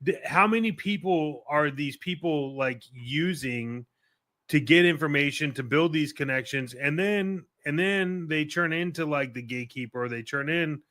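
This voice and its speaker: A man chats as a podcast co-host with a forceful, bright tone pitched a little above average. His talk is casual and blunt, with informal phrasing and easy swearing. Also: moderate pace.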